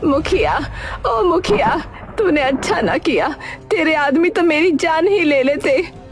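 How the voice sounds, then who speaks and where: A woman acts a feisty old village woman in a cartoon tale. She is loud and accusing, on the high side with big swings in pitch, over a music bed. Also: very animated, measured pace. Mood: outraged. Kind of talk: Hindi cartoon village story acting. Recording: music behind the voice.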